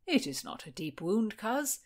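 A woman playing a jaunty, boastful Tudor gentleman. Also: sits high, sing-song, measured pace, voice pushed up, exaggerated voice. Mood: breezily soothing. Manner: flourishing, grandly self-announcing, cajoling. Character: jaunty, boastful, unflappable bastard gentleman uncle in Tudor London. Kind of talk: audiobook character dialogue.

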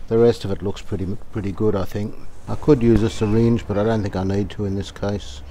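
Older aussie bloke chatting thru a repair outdoors, low mellow voice, bit of hiss behind. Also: male voice. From Australia. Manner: thinking aloud, calm and unhurried, plain-spoken with fillers. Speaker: radio restorer, channel host. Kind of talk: casual hands-on workshop narration. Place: outdoor verandah in the garden.

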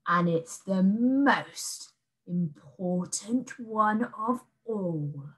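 Woman doing a slow growly hungry beast. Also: medium pitch, lively intonation, fairly bright tone, low-quality recording. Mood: menacing. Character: hungry grumpy male soup-cooking beast.

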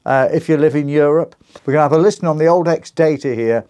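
A man's voice: fairly high pitch, fast, a little mellow, clear, resonant tone. A British man in his sixties presenting a casual, informative vlog in a chatty, musing way, asking rhetorical questions. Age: 60s. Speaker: YouTube presenter on shortwave radio. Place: small indoor radio den full of vintage sets. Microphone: small clip-on lapel mic.

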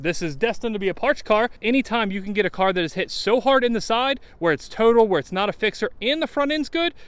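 Texan male vlogger, high pitch, wry handheld walkaround outdoors. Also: a bit bright, smooth, dry recording. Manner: wry, candid, quick asides. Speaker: salvage car buyer and vlogger. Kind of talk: casual walkaround commentary. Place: outdoor salvage auction lot. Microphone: handheld camera at arm's length.

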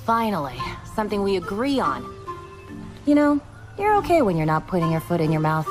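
A woman's voice: medium pitch, sing-song, brisk, lightly acted. A woman voicing a spirited, wry adventurer in a cartoon video game, with a teasing manner. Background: music.

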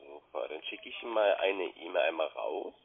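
Casting: man, raspy mid voice, phone-quality sound, polite and keen call centre sales patter full of questions. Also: sits low, expressive, a little fast. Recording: muffled, band-limited phone line.

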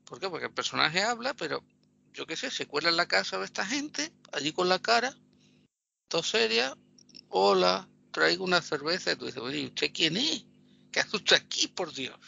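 A man with a gravelly voice, co-hosting a podcast and mocking a film in sarcastic casual chat, laughing often. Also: high voice, sing-song, normal speed, pushed and bright. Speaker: podcast co-host. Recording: dull lo-fi podcast audio.

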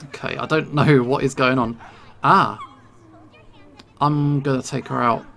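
Let's play streamer, mid-range creaky voice, nervous self-talk. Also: mid-range voice, fairly even intonation, a little slow, fairly bright tone, a little hiss or hum. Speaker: video game streamer.

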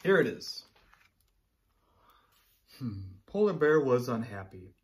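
A man in his thirties, a teacher reading a picture book aloud to young children in an encouraging, animated way. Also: mid-range voice, slow. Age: 30s. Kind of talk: children's storybook read-aloud.

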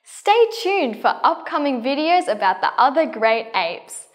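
A woman's voice: high-pitched, big pitch swings, measured pace. A young woman presents scripted educational science narration to camera, enunciating carefully. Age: young adult. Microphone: lapel.

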